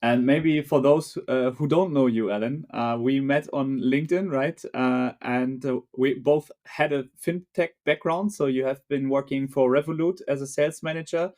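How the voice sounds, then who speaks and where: Halting podcast host, many fillers. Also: male voice, medium pitch, darkish tone. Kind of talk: casual interview chat.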